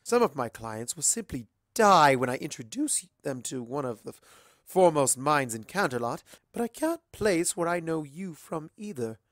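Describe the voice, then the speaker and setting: A performer voicing an elegant, gracious unicorn mare socialite in a storybook reading, with refined, effusive, drawn-out phrasing. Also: medium pitch, big pitch swings, no echo, clean studio sound, pitched above the performer's own voice, natural voice. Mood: chatty, poised. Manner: effusive, genteel, drawn-out words.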